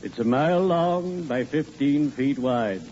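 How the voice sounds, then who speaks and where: Genteel male undertaker, played by an actor for old radio comedy: unhurried, morbidly cheery, noisy vintage recording. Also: medium pitch, animated, lo-fi sound, lightly acted. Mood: genteel, cheerful. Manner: measured, formal, morbidly punning delivery. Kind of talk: 1940s radio comedy acting.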